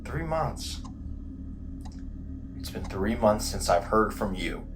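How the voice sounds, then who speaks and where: A male actor plays a worn-out, embittered man in an audio drama. He is volatile and intensely emotional, and his pitch swings widely from phrase to phrase. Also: expressive, slow pace, noisy background. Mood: weary bitterness. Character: exhausted, bitter, volatile sleepless man.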